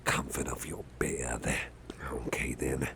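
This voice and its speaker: An extremely deep, gravelly older boss acted out by a man murmurs in a breathy half-whisper, slow and unhurried. He is soft-hearted, protective and a little jokey, rambling gently to the listener in an ASMR roleplay. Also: steady tone, noisy background, exaggerated voice. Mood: soothing.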